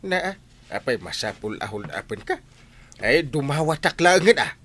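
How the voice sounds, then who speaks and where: An actor playing an excitable, nosy villager in an Ilocano comedy radio drama, full of exclamations and with an Ilocano accent. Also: medium pitch, normal speed. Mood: teasing. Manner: exclamatory, reacts with loud surprise.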